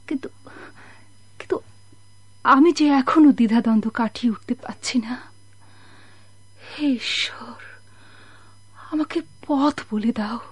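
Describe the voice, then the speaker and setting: Casting: anguished mother in radio melodrama, higher voice, slow, halting, breathy delivery. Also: darkish tone, no room sound. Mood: anguished, hesitant. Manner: halting, anguished, breathy pleading with repeated words. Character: sorrowful, torn mother waiting for a lost husband. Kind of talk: melodramatic radio drama acting.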